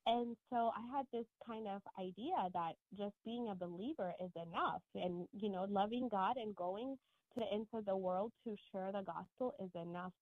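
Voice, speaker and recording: A woman's voice: mid-range voice, dry recording. Ethiopian-born woman on a tinny line. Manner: earnest, measured, with many restarts. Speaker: guest author. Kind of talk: radio interview answers. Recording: tinny phone-line sound, dry.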